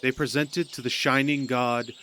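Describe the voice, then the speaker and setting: A man's voice: medium pitch, fairly even intonation, normal speed, sharp tone, slight background noise. A man narrating a myth as a scripted storyteller in an audio drama.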